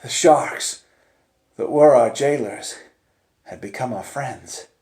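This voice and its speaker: A man telling a dramatic first-person story in a theatrical manner, moving between hushed tones and urgent cries. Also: mid-range voice, takes it slowly. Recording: echoey, roomy sound.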